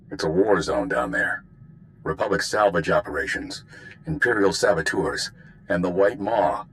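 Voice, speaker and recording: A stern spymaster hands out orders in a fairly low voice. He is curt, secretive and matter-of-fact, as in a dramatic game cutscene, with faint noise and ambience behind him. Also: a bit bright, low-quality recording. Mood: businesslike. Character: curt, secretive Republic intelligence spymaster man giving orders. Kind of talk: dramatic video game voice acting.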